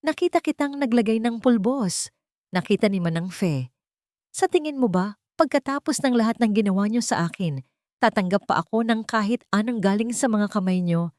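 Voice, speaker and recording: A woman's voice: mid-range voice, animated, moderate pace, darkish tone, dry recording, clean studio sound, voice pushed up, lightly acted. A woman voicing a cool, composed wronged billionaire woman in a story, cold and cutting. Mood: accusing.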